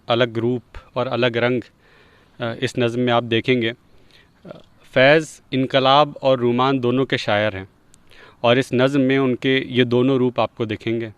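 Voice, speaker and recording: A man's voice: mid-range voice, clear, resonant tone, no echo. A man presenting and reciting Urdu poetry.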